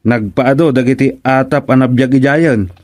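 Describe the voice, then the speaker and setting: A man's voice: medium pitch, moderate pace, darkish tone, natural voice. A man playing a timid, deferential soldier in a radio comedy drama, hesitant and respectful in his speech. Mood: fearful.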